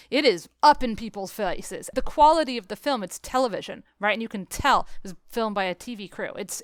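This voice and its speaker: A woman's voice: mid-range voice, fairly bright tone, smooth, no echo, studio quality. A woman chatting casually and opinionatedly about film, emphatic and exasperated, as a podcast co-host. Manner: emphatic, exasperated ranting with acted-out quotes.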